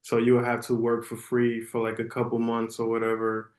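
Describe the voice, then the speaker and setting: Man on a video call, monotone, rambling, echoey room. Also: medium pitch, normal speed. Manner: rambling, restarts often. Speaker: networking call participant. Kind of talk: casual advice on a call. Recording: roomy video call audio.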